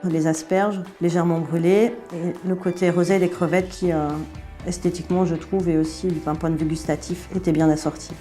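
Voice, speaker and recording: A middle-aged French-born woman speaking with a Belgian accent, easygoing and full of small hesitations as she talks about her cooking. Her voice is mellow and a touch low, with soft music playing underneath. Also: fairly even intonation, normal speed. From France. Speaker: chef. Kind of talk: relaxed interview answers.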